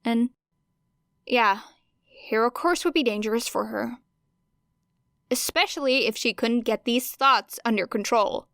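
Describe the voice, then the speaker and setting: Woman, higher-pitched voice, expressive story narration, wry dramatic delivery, dry recording. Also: no room sound. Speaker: audiobook narrator. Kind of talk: expressive fan fiction audiobook narration.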